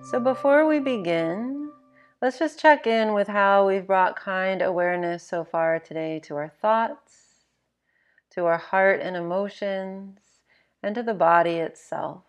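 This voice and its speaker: A woman narrating a guided sleep meditation in a gentle, measured, instructional manner. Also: mid-range voice, faint music behind.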